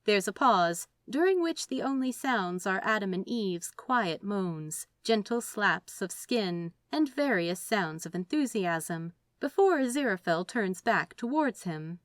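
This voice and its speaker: A woman narrates a story in an expressive, scripted reading style. Her mid-pitched voice moves with plenty of melody. The recording is dry, with hardly any room sound. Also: unhurried, hardly any pauses, no room sound.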